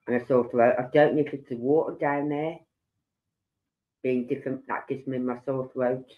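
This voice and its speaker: A middle-aged man, slow and rambling as he hosts a livestream chat with a head cold and sore throat. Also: mid-range voice. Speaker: livestream host. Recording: dull lo-fi sound.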